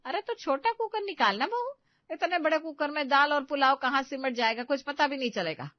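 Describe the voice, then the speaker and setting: A woman's voice: high voice, expressive, moderate pace, dry recording, highs cut off, pitched above the performer's own voice. A woman voicing a bossy, middle-aged village mother-in-law who scolds and gives instructions. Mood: incredulous.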